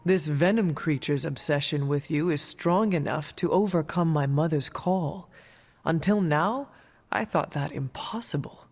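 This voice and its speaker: A solemn, resolute warrior who hunts demons in a video game. The voice is mid-pitched and grave, with formal, measured phrasing. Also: slightly rough. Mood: stern. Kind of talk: video game cutscene dialogue.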